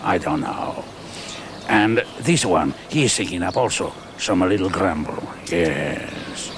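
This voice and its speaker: A man's voice: mid-range voice, sing-song, unhurried, vocal fry. A man voicing Lorenzo, a cheeky old male llama from South America who speaks broken English and chuckles as he gossips. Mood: chatty. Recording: noisy recording.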